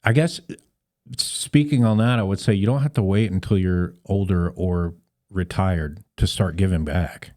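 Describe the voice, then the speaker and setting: Male interview guest, civic volunteer type. Deep, relaxed tone, casual and plain-spoken delivery. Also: measured pace.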